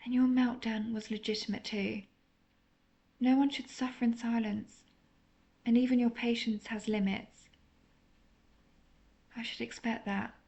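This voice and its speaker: A woman playing a lovesick, apologetic female vampire in an intimate audio roleplay, speaking in a hesitant, confessional, softly melancholic way. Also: fairly high pitch, level tone, measured pace, creaky, pauses often, muffled recording. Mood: vulnerable, melancholic.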